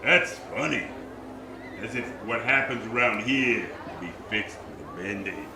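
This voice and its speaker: A man acting middle-aged carnival heavy, gruff, sarcastic, menacing, radio play, roomy stage sound, noisy backdrop. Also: on the low side, expressive, a little slow. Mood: veiled menace. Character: surly middle-aged carnival shooting gallery boss, menacing racketeer. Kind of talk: live 1940s-style radio drama acting. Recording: roomy live theater, noisy background.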